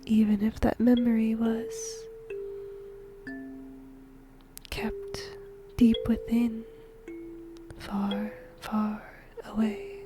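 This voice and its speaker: A woman playing a whimsical death god in a whispered ASMR roleplay, gentle, musing and playful, breathy and close to the microphone. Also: a little high. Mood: soft wonder. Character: whimsical female shinigami death god fond of humans.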